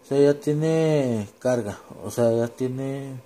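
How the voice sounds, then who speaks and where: Mellow-voiced Mexican man, casual and halting. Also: medium pitch. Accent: Mexican Spanish. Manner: informal, halting, searching for words, mixing in English. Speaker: home vlogger demonstrating gear. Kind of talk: casual unscripted demonstration.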